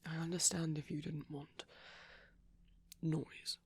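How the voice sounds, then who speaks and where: A woman playing a gentle, doting vampire boyfriend in a soft-spoken romantic ASMR roleplay, tender and lightly teasing, often whispering close to the microphone. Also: medium pitch, level tone, slow, creaky, husky, full character voice. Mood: gentle reassurance.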